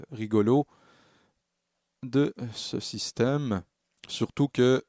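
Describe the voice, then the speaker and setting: A man narrating a software tutorial as a music production tutor, explaining in a halting way and correcting himself as he goes. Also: on the low side, no echo, studio quality. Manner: hesitant, halting phrasing with self-corrections.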